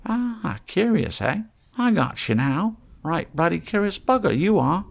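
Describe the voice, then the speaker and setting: A man voicing an eccentric old tramp trader in an audiobook, wheedling in excitable, salty, rambling patter. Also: high-pitched, a little slow, vocal fry, pitched above the performer's own voice, heavily acted. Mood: haggling, gleeful.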